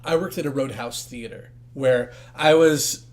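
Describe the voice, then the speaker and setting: A man's voice: medium pitch, a little slow. A man co-hosting a casual interview, asking curious, probing questions. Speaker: podcast co-host.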